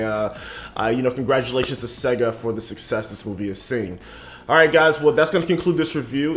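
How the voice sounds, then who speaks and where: A young adult man narrating a review as a YouTube host, casual and scripted. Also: mid-range voice. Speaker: YouTube movie and game reviewer. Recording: voice over background music. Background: music.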